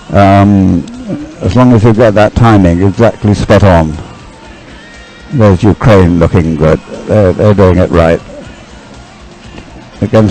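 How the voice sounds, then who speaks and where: Hesitant low-voiced male sports commentator. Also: darkish tone, rough recording. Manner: hesitant, thinking aloud. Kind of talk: live race commentary.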